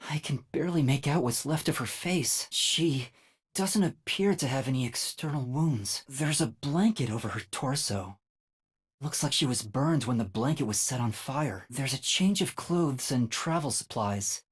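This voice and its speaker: A man voicing a timid, earnest young male trainee detective in a video game, with a light, soft, boyish voice and a hesitant manner that stammers when flustered. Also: mid-range voice, expressive, measured pace, breathy edge, no echo, clean studio sound. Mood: grim, observing. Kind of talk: video game voice acting, dramatic lines.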